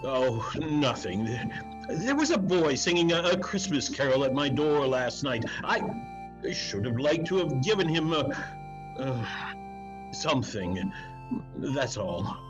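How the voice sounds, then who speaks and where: A man playing an old miser in a dramatic reading, theatrical and halting, full of feeling. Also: medium pitch, very animated, highs cut off, music in the background. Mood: wistful regret. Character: old miser, frightened, wistful and remorseful.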